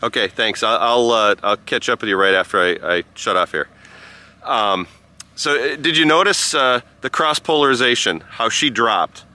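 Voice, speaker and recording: A man giving a casual vlog-style review outdoors in a halting, rambling way. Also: mid-range voice, sharp tone. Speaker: product reviewer and amateur radio operator. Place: outdoors by a rural road.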